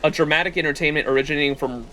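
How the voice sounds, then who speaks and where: A man's voice: a little high, fairly even intonation, rapid-fire, sharp tone, a little hiss or hum, rough recording. A man talking casually as a live streamer, commenting on a game as he plays.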